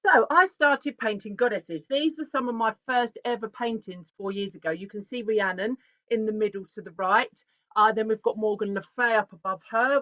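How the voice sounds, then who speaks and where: A woman talks through a presentation in a chatty, animated, self-interrupting way. Her voice sits a little high. The sound is dry and dull, like lo-fi audio from a video call. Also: no echo. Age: 40s. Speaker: artist giving a talk. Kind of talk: casual conference presentation.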